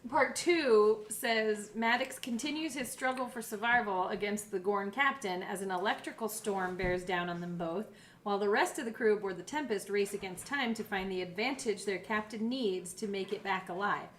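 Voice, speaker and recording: A woman's voice: mid-range voice, moderate pace, a bit bright, slight background noise. A woman co-hosting a podcast in a casual, chatty style. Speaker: co-host of a behind-the-scenes podcast. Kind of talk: casual chat, reading episode blurbs aloud.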